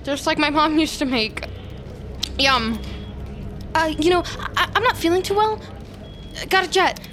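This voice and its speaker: A woman's voice: high voice, expressive, a little slow. A woman playing a defiant, agitated office team leader with secrets in an audio drama, stammering and speaking dramatically. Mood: flustered. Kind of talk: audio fiction acting with confiding monologue. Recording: music bed behind the voice.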